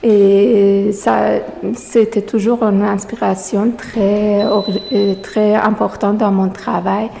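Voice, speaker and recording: A woman artist speaking French as a second language, answering interview questions slowly with many fillers and restarts, recorded in a gallery. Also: mid-range voice, level tone, vocal fry, warm tone, slight background noise. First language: not French. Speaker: exhibiting artist. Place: art gallery. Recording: long reverb of a gallery room.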